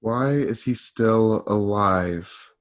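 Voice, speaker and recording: A man playing a vexed, insecure young Roman emperor in an amateur radio drama, theatrical and halting. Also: lowish voice. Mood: brooding, vexed.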